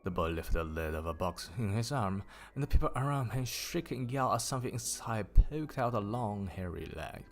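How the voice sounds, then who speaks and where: A man streaming a casual story reading, raising his pitch for the characters and stopping to laugh and swear at typos. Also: animated, normal speed, hardly any pauses, slight background noise. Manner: lifts pitch for quoted characters. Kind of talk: casual livestream book reading.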